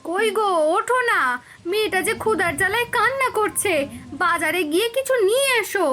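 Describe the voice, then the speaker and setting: A woman's voice: very high-pitched, a little fast, a bit bright. A woman playing an exasperated, nagging village housewife and mother in a cartoon, scolding and lamenting. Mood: worried, pleading. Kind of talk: Bengali cartoon voice acting.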